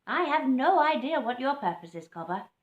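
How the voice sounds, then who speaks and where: A bustling, warbling mother bird, done by a woman reading a storybook, emphatic and high-pitched. Her voice swoops widely in an echoey room. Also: very animated, moderate pace, dull audio. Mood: brisk. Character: bustling female scrub fowl, warbling.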